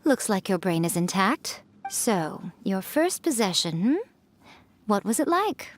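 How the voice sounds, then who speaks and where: A woman's voice: mid-range voice, sing-song, measured pace. A woman playing a sly, playful fox shrine priestess in a video game, with a coy, self-assured way of talking. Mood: teasing, pleased. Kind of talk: video game character acting.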